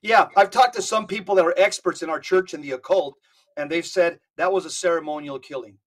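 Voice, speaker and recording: A man's voice: a little high, brisk. A man talking on a podcast, emphatic and often repeating words as he gathers his thoughts. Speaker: podcast co-host. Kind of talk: casual podcast conversation.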